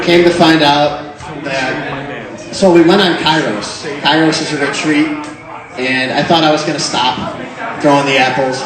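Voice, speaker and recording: Laughing young man telling a story in a booming room. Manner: animated, laughs often while telling. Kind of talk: comic storytelling to a live crowd. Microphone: microphone into a speaker system. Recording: very echoey room with crowd noise.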